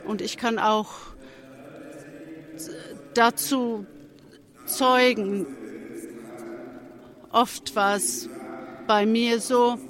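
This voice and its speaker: A speaker with a cold giving an earnest testimony at a church service, pausing often and restarting phrases before rising to fervent emphasis. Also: mid-range voice, fairly even intonation, fairly bright tone. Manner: halting, with frequent restarts and pauses. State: has a cold.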